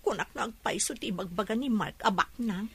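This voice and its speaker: A woman's voice: medium pitch, a little fast, husky, no room sound. A young woman acting a defiant, spiteful daughter in an Ilocano radio drama. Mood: defensive.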